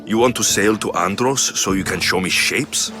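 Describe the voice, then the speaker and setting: Actor as low-voiced, terse young warrior. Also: male voice, moderate pace, close to an everyday voice. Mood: guarded, serious. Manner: terse, questioning. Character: determined, skeptical young Greek male warrior. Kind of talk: dramatic video game dialogue. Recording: game music under the voice.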